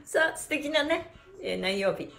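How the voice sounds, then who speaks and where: A middle-aged woman hosting a live exercise stream in animated, cutesy and polite instructor patter. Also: high-pitched, unhurried, gravelly. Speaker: pantomime performer and stretch class host. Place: small indoor room with bare walls. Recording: roomy echo from camera mic.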